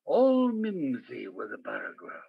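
A man playing a grand, dramatic 1940s radio host who recites deliberately, with a husky, creaky voice. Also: mid-range voice, wildly swooping pitch, lo-fi sound, full character voice. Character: theatrical mid-century radio host reciting verse.

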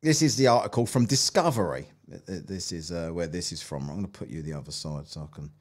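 A man's voice: sits low, fast. A British man in his forties chatting casually as a podcast host, rambling and sweary, close on a mic. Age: 40s. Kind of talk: casual chat. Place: small room at home. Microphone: close studio mic on an arm.

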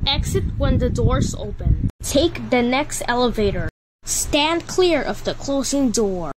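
A woman reading scripted elevator announcements in deliberate, separated words and short phrases. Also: high voice, expressive, background noise.

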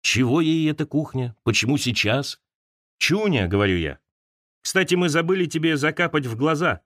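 Expressive male audiobook narrator, very dry sound. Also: fairly high pitch, moderate pace, dry recording. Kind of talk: expressive literary essay narration. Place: close, dry studio recording.

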